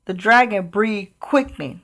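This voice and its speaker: A woman reading a children's picture book aloud as storyteller, with expressive, deliberate delivery. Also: mid-range voice.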